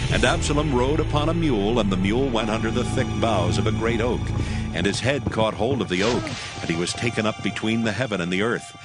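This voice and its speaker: A man narrating a dramatized scripture audiobook in a solemn, deliberate storytelling manner. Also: on the low side. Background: music.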